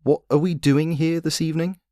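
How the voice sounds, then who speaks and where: A man's voice: a little high, steady tone, brisk, warm tone, dry recording, studio quality, pitched above the performer's own voice, lightly acted. A man voicing a casual, sceptical but protective brother in an audiobook story. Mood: puzzled. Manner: plain-spoken, casual.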